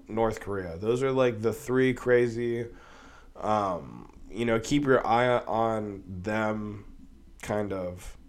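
A man, sick with a cold and sniffling, talking in a rambling, profane way with many fillers on his own podcast. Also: medium pitch. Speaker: podcast host. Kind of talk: casual solo podcast commentary.

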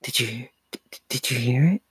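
A scared young twin sister from a storybook, played by a man, pitched up from a normal speaking voice. The delivery is breathless, stammering and pleading, in a dry recording. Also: moderate pace, creaky, dark tone, husky, no room sound, heavily acted. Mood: frightened stammering. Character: frightened young girl, anxious twin sister.

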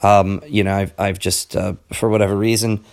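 Hoarse man in a casual monologue. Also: lowish voice, flat delivery, moderate pace. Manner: conversational, frequent ums and asides, earnest. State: raspier voice than usual. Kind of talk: casual spoken monologue on books and history.

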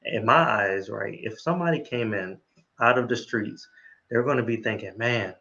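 A man's voice: fairly low pitch, normal speed, creaky, bright, forceful tone, lo-fi sound. A man hosting an interview, talking with enthusiasm and tagging "right?" onto his sentences. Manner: enthusiastic, keeps adding "right?". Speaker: interview host. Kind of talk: casual interview chat.

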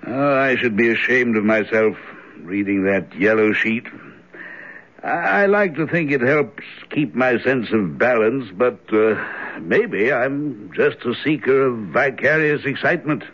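An actor playing an earnest, worried elderly minister and stern father in an old-time radio drama, speaking gravely. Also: mid-range voice, expressive, unhurried, fairly bright tone. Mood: concerned, confiding. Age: 60s. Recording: dull, band-limited old radio recording.

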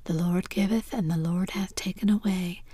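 A woman's voice: on the low side, steady tone, moderate pace, warm tone, breathy edge, no room sound. A woman whispering as a tearful, remorseful mother in a storybook reading. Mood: sobbing.

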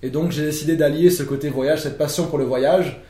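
French man with a mellow voice. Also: on the high side, fairly even intonation, brisk. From France. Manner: explanatory, many fillers and restarts. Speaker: podcast guest. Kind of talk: casual podcast conversation. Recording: echoey room.

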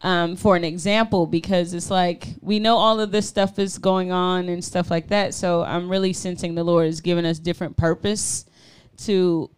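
Southern-raised young woman chatting on a faith show, lowish smooth voice. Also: steady tone, moderate pace. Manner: chatty, laughs often, restarts sentences. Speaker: co-host. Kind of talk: casual faith talk show chat.

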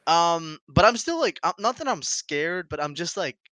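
A man's voice: fairly high pitch, very animated, moderate pace, bright, forceful tone, no room sound. A man in his twenties co-hosting a casual podcast, rambling with restarts, laughing often and swearing freely, English not his first language. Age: 20s. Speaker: podcast co-host.

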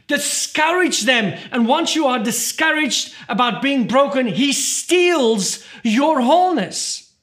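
A man's voice: very high-pitched, fairly bright tone. A man preaching a sermon as pastor, urgent and emphatic, repeating his key points.

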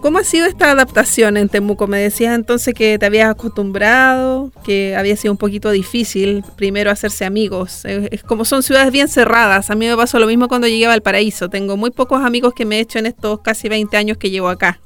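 A woman's voice: mid-range voice, fast, hardly any pauses, a bit bright, clean tone. A Chilean woman hosting a casual interview, asking questions in a friendly, informal way.

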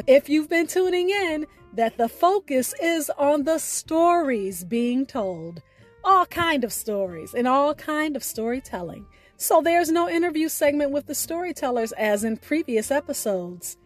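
Lady podcast host, really high voice, takes her time w every word, music playing under her. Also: darkish tone. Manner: deliberate, spells out names and details. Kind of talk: scripted podcast sign-off.

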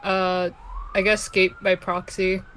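A woman in her early twenties, co-hosting a casual podcast, speaking softly with hesitant fillers. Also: mid-range voice, level tone, slow pace, slight background noise. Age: 20s. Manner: soft-spoken, hesitant, lots of fillers. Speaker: podcast co-host. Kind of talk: casual chat.

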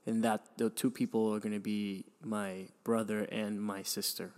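A young man from Venezuela talks through his own story on his podcast. His voice sits low and keeps to a narrow, level pitch. He pauses, sighs and restarts often as he thinks aloud. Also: flat delivery, darkish tone. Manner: hesitant, many restarts and fillers, sighs. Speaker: podcast host. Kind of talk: reflective personal storytelling.

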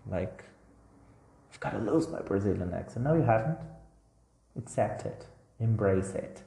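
A man's voice: fairly low pitch, animated, measured pace, vocal fry, dark tone. A man giving a recorded English lesson to learners, talking casually and reassuringly. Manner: casual and reassuring, with frequent "like" and "you know". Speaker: English teacher. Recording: roomy echo with faint guitar music.

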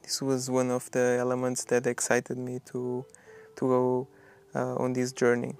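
A man talks slowly, hesitating often, his voice almost monotone. It has a smooth, resonant tone with a gravelly fry underneath, and soft music plays faintly behind him. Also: mid-range voice. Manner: slow, halting, with many fillers. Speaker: permaculture traveler. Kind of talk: reflective personal narration.

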